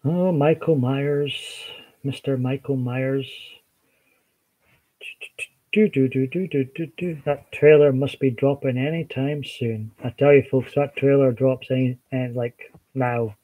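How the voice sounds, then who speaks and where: A Scottish man chatting easily on a livestream, reading out viewers' comments and replying in a relaxed, rambling way. His pitch sits in an ordinary middle range. He often trails off and restarts his sentences. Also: measured pace, narrow, tinny audio. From Scotland. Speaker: livestream host.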